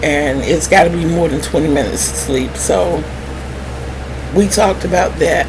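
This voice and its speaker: A middle-aged woman vlogger shares personal stories at a very slow pace. Her voice sits a little low, and she is candid and wry, acting out remembered exchanges. There is clear noise behind her throughout the recording. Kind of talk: candid personal storytelling to viewers. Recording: clearly noisy background hum.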